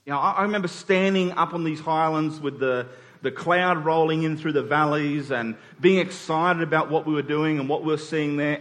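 An Australian man in his forties delivers a reflective, personal sermon. His voice sits a little higher than average and rings with long hall reverb. He speaks conversationally, pausing to give phrases weight. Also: a bit bright. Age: 40s. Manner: conversational, reflective, with pauses for emphasis. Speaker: preacher.

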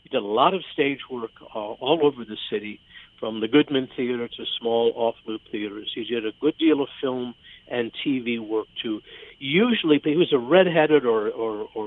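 An American man talks conversationally as a theater critic on a radio show. His pitch is average, and the sound is narrow and lo-fi, as if down a line. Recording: slightly dull, band-limited radio sound.

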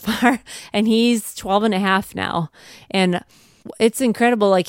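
A woman's voice: mid-range voice, clean tone, dry recording, studio quality. A middle-aged woman talking casually and encouragingly as a parent sharing homeschooling advice. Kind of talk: casual conversational advice talk.

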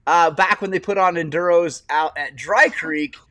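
A man in his forties, a podcast host telling stories in casual chat, animated and quick to laugh. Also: a little high, no echo, clean studio sound. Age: 40s. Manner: animated storyteller, laughs often.

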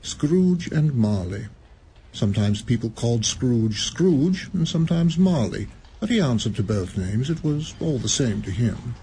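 Male narrator, mid pitch, measured delivery, faint hiss behind. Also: big pitch swings, warm tone. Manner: measured, relishing the words. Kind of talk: scripted dramatic narration.

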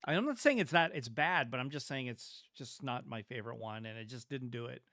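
Man, middle pitch, dry recording, podcast co-host, blunt, opinionated, joking. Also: expressive, brisk, sharp tone, no echo. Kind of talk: casual chat about films.

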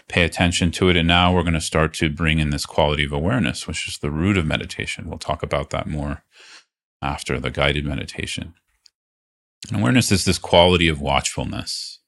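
A man leading a guided meditation as a teacher, speaking in an unhurried, reassuring way with phrases broken by pauses. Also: very deep voice, gravelly, a bit bright. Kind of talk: guided meditation, gently instructive.

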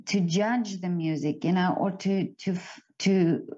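A woman's voice: lowish voice, steady tone, measured pace, dark tone. A woman interviewing a musician, agreeing readily and hesitating often with "um" and "you know". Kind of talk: casual interview talk. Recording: dull lo-fi recording.

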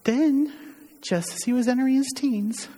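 Woman performing a bereft mother of a condemned son, slightly husky, solemn stage monologue, halting delivery, long church reverb. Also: high-pitched, dark tone. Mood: wistful, pained. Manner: solemn, halting, emphatic with dramatic pauses. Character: grieving, ashamed widowed mother of a condemned criminal. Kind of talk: dramatic monologue in a church service. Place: large reverberant church during a worship service. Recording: echoey hall with long reverb, muddied.

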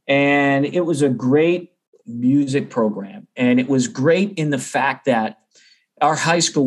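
A middle-aged man with an American accent speaks as an interview guest. His voice sits at an average pitch, and he talks in a chatty, informal way, like a teacher in relaxed conversation. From Boston area, United States.

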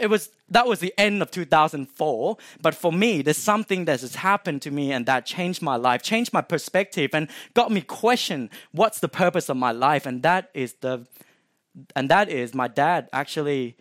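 A man's voice: fairly high pitch, a bit bright, no room sound, studio quality. A man in his twenties from Thailand with a Thai accent, sharing a personal faith testimony with an audience in a chatty, informal way, full of "um"s and restarts. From Thailand (Chiang Mai). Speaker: guest speaker sharing testimony.

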